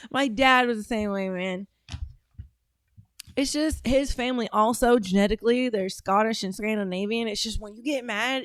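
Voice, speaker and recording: A woman's voice: on the high side, dry recording, clean studio sound. A woman hosting a call-in podcast, talking bluntly and swearing freely, with frequent laughter. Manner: blunt, profane, laughs often. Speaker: podcast host taking calls. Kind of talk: casual call-in chat.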